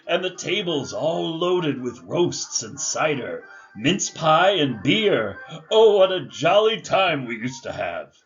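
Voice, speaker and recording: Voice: medium pitch, dull audio. An actor playing a frightened, regretful old man in a radio drama, stammering and exclaiming with emotion. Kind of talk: family radio drama acting.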